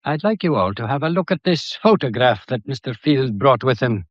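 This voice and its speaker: Actor as lively, probing English police inspector. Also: male voice, mid-range voice, dry recording, dull audio, lightly acted. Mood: probing, assured. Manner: deferential yet probing, unhurried. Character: shrewd, patient, courteous male police inspector. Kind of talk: radio drama acting.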